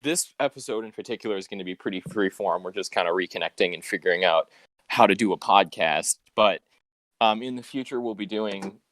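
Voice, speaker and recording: A young man hosting a casual, unscripted podcast, rambling a little as he thinks out loud. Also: sits low, no room sound. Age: young adult. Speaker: podcast host.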